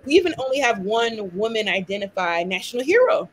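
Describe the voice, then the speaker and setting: A woman's voice: fairly high pitch, big pitch swings, brisk, a little hiss or hum. Passionate woman talking with lots of "like". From born in London, raised in Miami. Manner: passionate, frequent "like" and "right?". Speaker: guest, activist. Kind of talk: conversational discussion.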